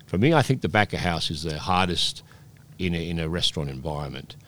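A man in his sixties, raised in Peru, telling rambling stories with many restarts as a guest in a relaxed interview. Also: deep voice, brisk. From Scotland, raised in Peru. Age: 60s. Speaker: guest, oyster bar operator.

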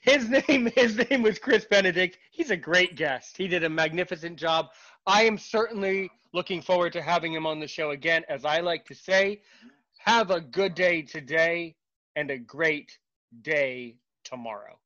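A man hosting a podcast interview in casual chat, halting and repeating his words, with a sarcastic streak. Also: fairly high pitch, pushed and bright, dull audio. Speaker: podcast host.

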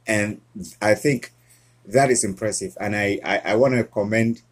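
Earnest Cameroon-born male guest, husky, halting delivery. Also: on the low side, fairly even intonation. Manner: earnest, halting with frequent restarts. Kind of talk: casual interview conversation.

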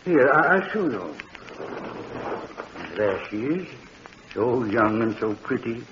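An actor playing a kindly old morgue keeper who speaks with the dead, in a soft, earnest, patient manner, in 1940s radio drama style. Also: male voice, medium pitch, very animated, slow pace. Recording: dull old radio recording in a reverberant studio, faint hiss.

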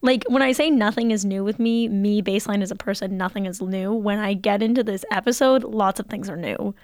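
Deadpan young woman reading the news. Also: medium pitch, a little fast, dry recording, studio quality. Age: 20s. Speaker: podcast co-host. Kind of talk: casual chat and news summary. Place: small studio.

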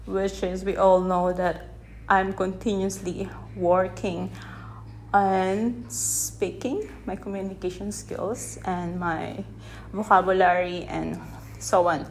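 A woman from the Philippines whose first language is Tagalog, talking unscripted into a phone held in one hand on her podcast, gently and with many restarts as she searches for words. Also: mid-range voice, steady tone, takes it slowly, a little hiss or hum, rough recording. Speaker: podcast host. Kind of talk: unscripted reflective podcast monologue. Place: unedited setting with background sound.

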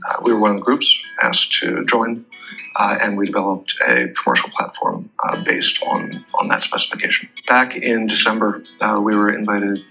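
A man presenting in an explanatory business talk, phrasing haltingly with frequent hesitant pauses. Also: lowish voice, big pitch swings, creaky, sharp tone, slightly rough, faint music behind, rough recording. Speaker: presenter for a data technology company.

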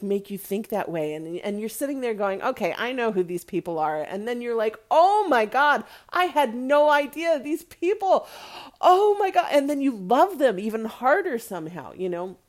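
A woman's voice: on the high side, animated, normal speed, a little mellow. A woman hosting a casual, opinionated review monologue, chatty and emphatic, often checking in with the listener. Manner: chatty, emphatic, rhetorical check-ins like "right?" and "okay?". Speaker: podcast host and movie reviewer.

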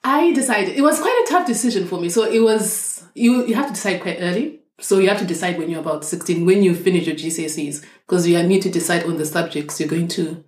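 A woman's voice: on the low side, fast, hardly any pauses, a little mellow. A young woman from Zimbabwe, a doctor, talking thoughtfully and at length as an interview guest. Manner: thoughtful, explains at length with restarts. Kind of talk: casual interview conversation. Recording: echoey room.

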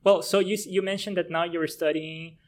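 A man's voice: high voice, fairly even intonation, fairly quick. A young man from Brazil hosting a podcast and interviewing in a casual, conversational way. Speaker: podcast host.

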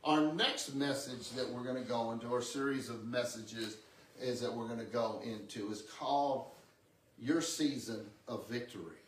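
A man's voice: mid-range voice, normal speed, slight background noise. A man in his sixties preaching a conversational teaching sermon, often repeating words and checking in with amen and okay. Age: 60s. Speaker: pastor preaching. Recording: echoey room, distant microphone.

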